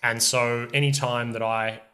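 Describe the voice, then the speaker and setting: A man's voice: mid-range voice, little pitch movement, unhurried, sharp tone. A young man, hosting a casual podcast in a friendly way, with hesitant ums. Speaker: podcast host. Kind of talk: casual chat.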